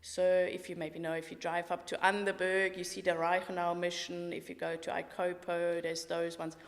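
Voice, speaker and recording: A woman's voice: on the low side, completely flat delivery, moderate pace. A middle-aged woman from Switzerland with a Swiss accent, a walking tour guide talking in a podcast interview in an enthusiastic, matter-of-fact way. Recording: roomy hall-like reverb.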